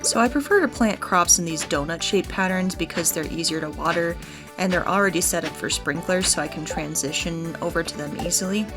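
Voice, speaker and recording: A woman's voice: on the low side, a little fast. A woman hosting a gaming video, giving chatty, explanatory let's play commentary in a casual style. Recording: background music under the voice. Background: game music.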